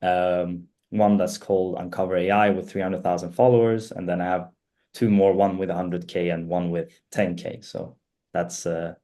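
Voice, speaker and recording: A man in his twenties from Sweden, chatting casually as an interview guest, relaxed and rambling with lots of fillers. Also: deep voice, normal speed. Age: 20s. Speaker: guest, student and page owner.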